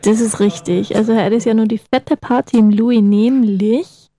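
Young woman, campus radio host, mellow and higher-pitched, chatty. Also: fairly even intonation, normal speed, a little hiss or hum. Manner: chatty, teasing, laughs often. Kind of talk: casual radio chat.

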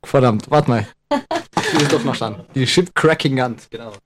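A man's voice: mid-range voice, huge pitch swings, normal speed, a crowd in the background. Joking young man radio co-host. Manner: joking, rambling, many fillers. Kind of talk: casual radio chat.

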